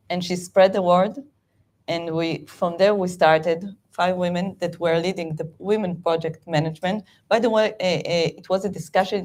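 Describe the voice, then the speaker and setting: A middle-aged woman with an Israeli accent, a native Hebrew speaker, giving a halting, animated talk with many fillers and restarts as a guest speaker at a meetup. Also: fairly low pitch. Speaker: guest speaker, project management VP.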